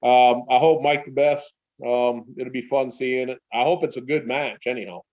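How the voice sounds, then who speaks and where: A man's voice: mid-range voice, steady tone, moderate pace, a little mellow, no room sound, rough recording. A man guest giving a plainspoken, considered opinion in a casual live video chat.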